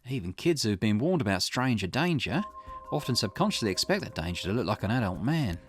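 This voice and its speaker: Man playing a shrewd, animated amateur sleuth. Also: lowish voice, brisk, faint music behind. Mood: confidently explaining. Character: shrewd, self-assured amateur sleuth reasoning out a crime.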